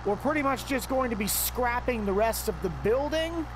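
A man giving casual, chatty commentary over his gameplay, talking straight to his viewers with excitable outbursts. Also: very high voice, normal speed, background noise. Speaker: gameplay video host.

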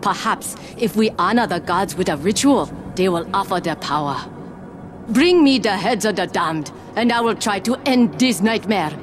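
A woman playing a grave older wise woman in a fantasy game, speaking with deliberate weight. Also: medium pitch, lively intonation, noisy background, natural voice. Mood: grim. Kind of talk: video game character acting.

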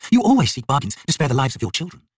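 A man's voice: mid-range voice, big pitch swings, racing, husky, dry recording, clean studio sound, recorded from a distance. A man voicing a young female shaman, cool and taunting, in a fantasy audiobook. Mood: cool, mocking. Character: young predatory female shaman, coolly mocking.

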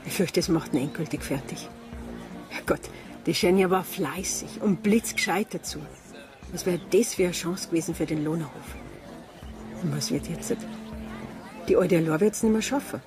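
A woman's voice: on the low side, moderate pace, pauses often, music in the background, close to an everyday voice. A woman playing a worried, plain-spoken farm helper with a Bavarian accent in a naturalistic radio drama. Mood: worried, saddened. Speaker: actor in a radio crime drama.

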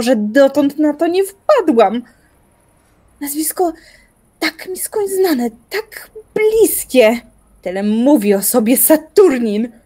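Slow, intense young woman, high-voiced. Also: a little mellow. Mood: excited realisation. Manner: theatrical, deliberate, musing aloud. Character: intense obsessive young woman, brooding philosopher. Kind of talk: radio play dramatic monologue.

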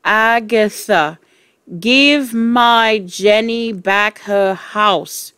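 A woman reader puts on a commanding ghost from a storybook. The words come very slowly and deliberately, heavy with menace, in a close, dry sound. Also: medium pitch, fairly bright tone, clear, resonant tone, dry recording. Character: ghostly invisible writer, slow, menacing, commanding.

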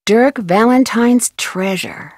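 A woman's voice: on the high side, slow, darkish tone, no echo, clean studio sound. A young woman with an American accent playing a plucky amateur detective in a video game, chipper and wholesome as she thinks aloud. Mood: musing.

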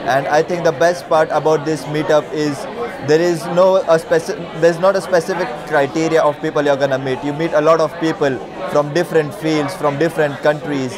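A man's voice: fairly high pitch, steady tone, a little fast. A man in his twenties with an Indian accent, talking casually as an event attendee. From Mumbai, India. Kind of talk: casual testimonial. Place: large busy indoor venue. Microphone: clip-on lapel. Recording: crowd chatter and music behind.

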